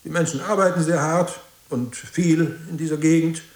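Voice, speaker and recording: An actor playing a weary, guarded pastor in a radio drama, curt and defensive, with hesitant moments. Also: male voice, on the high side, fairly even intonation, normal speed, roomy sound, lightly acted. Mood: subdued, guarded. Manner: curt, brusque, questioning, hesitant at times.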